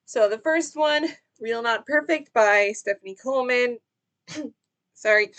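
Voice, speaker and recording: High-voiced woman chatting casually. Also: unhurried, fairly bright tone. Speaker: book vlogger. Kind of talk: casual chatty book review to camera.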